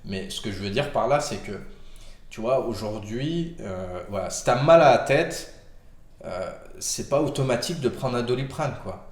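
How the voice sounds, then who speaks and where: A man's voice: medium pitch, expressive, moderate pace, roomy sound. A man with a cold giving casual health advice to camera, rambling with many fillers and restarts. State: has a cold.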